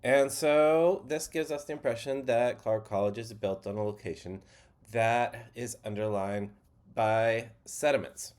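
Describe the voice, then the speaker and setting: A man's voice: medium pitch, a little slow. Male instructor, nasal from a cold, easygoing and plainspoken, mid-range voice. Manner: relaxed, plainspoken teaching. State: stuffy nose, slightly nasal. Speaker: college geology instructor. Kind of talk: screen-share lecture.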